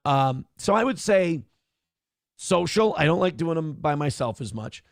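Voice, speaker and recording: A man in his late thirties talks casually, cursing and correcting himself as he goes. His voice sits a bit high for a man and swings widely in pitch. The sound is dry and close. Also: unhurried, creaky, no echo. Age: 30s. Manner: animated, swearing, self-correcting. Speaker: co-host. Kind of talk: casual chat.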